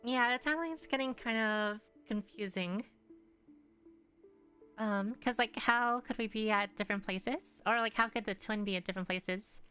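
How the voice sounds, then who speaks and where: A woman's voice: mid-range voice, moderate pace, pushed and bright, clean tone, soft background music. A woman doing casual game commentary, thinking aloud in a hesitant, rambling way. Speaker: game streamer.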